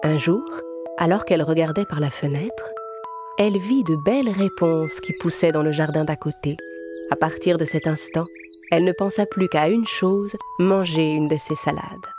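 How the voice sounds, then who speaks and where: Female storyteller for fairy-tale narration: lowish pitch, measured script reading over prominent music.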